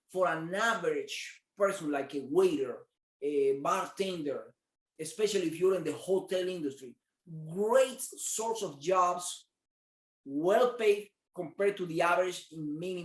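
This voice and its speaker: A man's voice: on the high side, echoey room. A man from Cuba, a business owner answering interview questions earnestly, repeating words as he searches for them. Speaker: martial arts studio owner.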